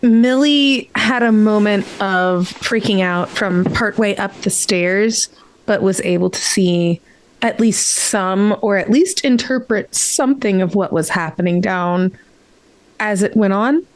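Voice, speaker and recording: A woman's voice: medium pitch, a little slow, low-quality recording. A woman playing a tabletop game, describing her character's actions in a hesitant, improvised third-person narration.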